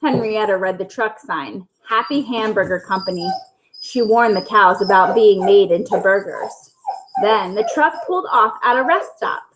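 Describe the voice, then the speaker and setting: A woman reading a children's storybook aloud in an expressive narrating style, as at a school story time. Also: medium pitch, normal speed, noisy background.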